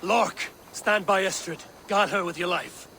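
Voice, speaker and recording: Resolute Viking fighter, forceful, raised pitch, faint background noise. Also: male voice, measured pace, heavily acted. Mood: urgent. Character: determined, commanding Viking warrior. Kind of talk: dramatic video game acting. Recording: game audio with faint noise.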